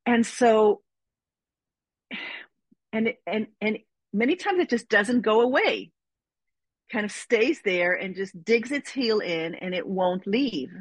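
An earnest middle-aged woman from Israel speaks as an interview guest. Her voice is husky, and she lingers on key phrases. The recording is dry and close. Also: mid-range voice, lively intonation, dry recording. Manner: earnest, reflective, slow with emphatic phrasing. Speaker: guest, spiritual teacher. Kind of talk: interview conversation.

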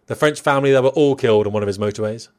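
A middle-aged man reading a personal memoir as scripted narration, in a measured, reflective storytelling manner. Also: medium pitch, a little mellow.

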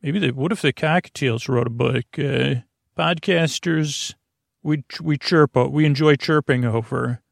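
A man delivers a soothing bedtime monologue in a middle-pitched voice full of gravelly creak. He meanders and stumbles over his words, drifting from one tangent to the next. The sound is dry, with no room echo. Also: a little slow. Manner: meandering, hesitant, stammering with tangents. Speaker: host of a bedtime sleep podcast.

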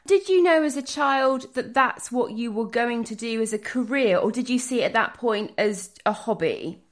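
A woman's voice: a little high, lively intonation, moderate pace. A woman hosting an interview, asking curious, chatty questions. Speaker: interviewer, host. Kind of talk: conversational interview.

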